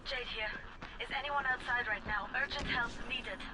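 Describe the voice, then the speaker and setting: A woman's voice: fairly high pitch, moderate pace, background noise, close to an everyday voice. A woman playing an urgent, commanding survivor in a video game, speaking tersely.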